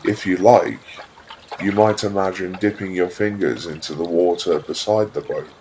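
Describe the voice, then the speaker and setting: A man narrating a scripted guided visualisation in a calm, soothing, unhurried way, leaving pauses between phrases. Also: low voice, slight background noise.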